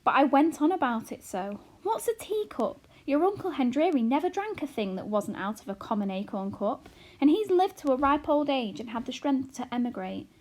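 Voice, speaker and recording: A woman's voice: high-pitched, very animated, moderate pace, few pauses, darkish tone, pitched above the performer's own voice. A woman doing a fretful, tearful little mother. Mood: fretting. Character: anxious fretful tiny mother, middle-aged woman. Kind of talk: audiobook character reading.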